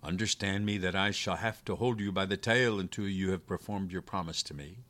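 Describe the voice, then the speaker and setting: A man voicing a shrewd, unhurried soldier in a storybook tale, calm, teasing and stubborn as he bargains. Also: low voice. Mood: cool teasing. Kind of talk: storybook character voice.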